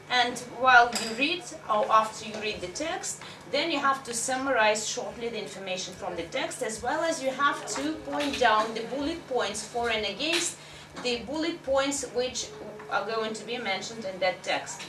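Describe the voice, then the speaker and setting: A woman teacher explains exam tasks to her class in a blunt, conversational way. Her voice sits fairly high. It echoes around a room, picked up from some distance with a faint hiss. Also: expressive, moderate pace. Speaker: English teacher. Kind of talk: classroom instruction.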